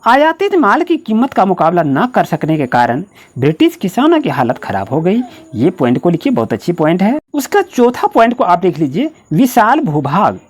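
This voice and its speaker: Woman, lowish pitch, extremely animated sing-song intonation, directive schoolteacher dictating answers. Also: husky. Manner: directive, repetitive step-by-step explaining. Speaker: online school history teacher. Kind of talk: instructional lesson, dictating answers to students.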